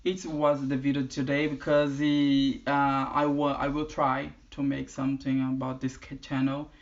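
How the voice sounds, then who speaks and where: A man from Brazil, speaking English with a Brazilian Portuguese accent as a non-native speaker, talking casually to camera with halting phrases and frequent restarts. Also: mid-range voice, completely flat delivery, dull audio. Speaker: channel host. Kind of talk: casual vlog talk. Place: indoors in the UK.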